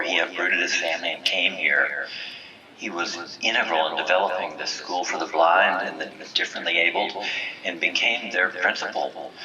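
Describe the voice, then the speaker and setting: A deep-voiced, solemn community leader. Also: male voice, sharp tone, echoey room, recorded from a distance, muffled recording, slight background noise. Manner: measured, preachy, commanding oratory. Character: stern, solemn village leader presiding over a memorial and judgment. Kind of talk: formal speech in an audio drama.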